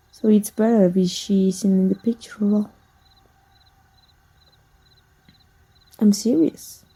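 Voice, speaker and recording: Woman playing a slow, husky, seductive villainess. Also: lowish voice, dark tone, heavily acted, low-quality recording. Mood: jealous, cold. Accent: Mexican. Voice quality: husky, breathy. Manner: slow, breathy, teasing murmur. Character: jealous, seductive female villain, possessive and teasing. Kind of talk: intimate ASMR roleplay acting.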